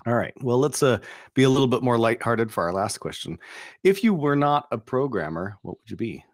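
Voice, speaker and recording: A middle-aged man hosting a casual interview over a video call, upbeat with quick reactions, speaking into a studio mic. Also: mid-range voice, animated, no room sound. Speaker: interview host. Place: home studio. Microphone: boom studio microphone with headphones.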